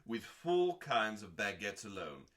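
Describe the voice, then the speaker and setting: A man hosting a dramatic scripted reading in a deliberate, theatrical manner with pauses and dry, deadpan delivery. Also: fairly low pitch. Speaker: host.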